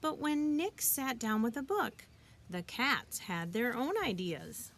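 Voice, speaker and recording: A woman reading a children's picture book aloud, expressively and deliberately, as a teacher would for young listeners. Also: a little high, big pitch swings, fairly bright tone. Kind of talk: children's storybook read-aloud.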